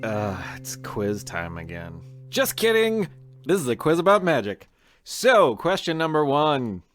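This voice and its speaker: A man's voice: a little high, big pitch swings, unhurried, a bit bright, soft background music. An American man reading a playful scripted quiz as host, talking straight to the listeners. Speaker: podcast host and quizmaster.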